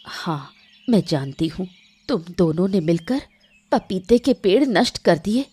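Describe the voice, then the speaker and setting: A woman's voice: lowish voice, animated, measured pace, dark tone, breathy edge. A woman voicing a stern, reproachful woman of the household in a cartoon moral story, scolding firmly.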